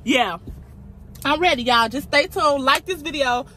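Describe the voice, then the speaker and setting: A blunt, chatty young woman talks straight to her phone camera from a parked car. Her voice is bright and forward, with a faint hum of car ambience behind her. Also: high voice, a little slow. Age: 20s. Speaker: vlogger. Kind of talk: casual talk to camera. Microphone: phone camera on dashboard.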